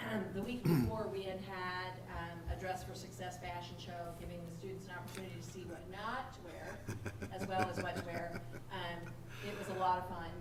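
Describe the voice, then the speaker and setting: Chatty woman in an echoey meeting room. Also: mid-range voice. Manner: warm, chatty, many "um"s and restarts. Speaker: community nonprofit representative. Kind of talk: informal remarks at a public board meeting. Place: school board meeting room. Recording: echoey room, distant mic, noisy.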